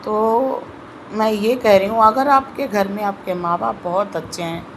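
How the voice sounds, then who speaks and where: A woman with a sore throat giving a slow, earnest devotional talk in an online video. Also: medium pitch, fairly even intonation, slight background noise, rough recording. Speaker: spiritual video host.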